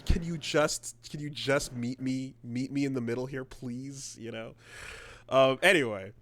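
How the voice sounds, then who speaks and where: A man co-hosting a podcast in casual chat, rambling and animated, swearing freely. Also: medium pitch, unhurried. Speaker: podcast co-host.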